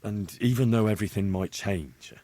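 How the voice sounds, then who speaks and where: An actor playing an easygoing, loyal young blacksmith's son in a medieval video game, casual, wry and sincere. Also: male voice, fairly low pitch, normal speed, warm tone, no room sound, close to an everyday voice. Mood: sincere, reassuring. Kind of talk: naturalistic video game dialogue acting.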